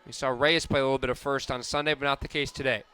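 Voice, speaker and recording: A man's voice: medium pitch, fairly even intonation, fast, pushed and bright, clean tone, a faint crowd. A man doing radio sports commentary as a play-by-play announcer.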